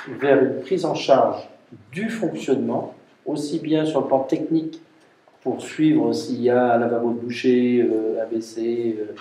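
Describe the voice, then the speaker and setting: A man gives an explanatory council briefing in a mellow, middle-pitched voice, repeating points for emphasis. He sounds some distance from the mic in a roomy space, with faint noise and clicks. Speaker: mayor chairing a municipal council meeting. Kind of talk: formal council briefing, spoken off the cuff. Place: council meeting room.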